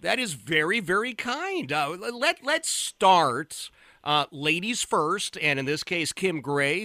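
A man from the Philadelphia area hosting a radio talk show, speaking loudly and emphatically with fillers and restarts. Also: fairly high pitch, dry recording. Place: radio studio. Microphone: studio microphone.

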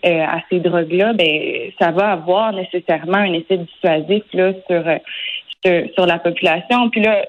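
A woman in her twenties with a Québécois French accent, a lawyer answering interview questions earnestly, often pausing with fillers and restarting phrases. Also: lowish voice, poor audio. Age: 20s. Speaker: lawyer guest.

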